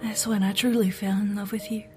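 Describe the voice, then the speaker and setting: An actress playing a weary, skeptical female FBI agent in an audio drama, speaking haltingly and with open feeling. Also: mid-range voice, flat delivery, faint music behind, close to an everyday voice. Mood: tender, wistful.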